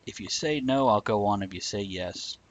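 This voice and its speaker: A man's voice: on the low side, moderate pace. A man hosting a livestream with casual, wry, joking banter and informal step-by-step explanations. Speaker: livestream show host. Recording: dull lo-fi livestream audio.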